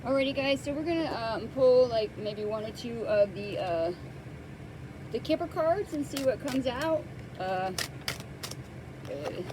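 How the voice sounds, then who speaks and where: A woman hosting a casual tarot card reading, soft-spoken and hesitant, with many pauses and fillers, close to the microphone. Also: a little high, expressive, noisy background.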